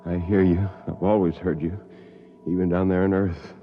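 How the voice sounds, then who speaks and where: A man's voice: low-pitched, flat delivery, moderate pace, a little hiss or hum. An actor playing a bitter, idealistic male astronaut turned rebel, brooding in 1950s radio drama style. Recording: lo-fi old radio recording.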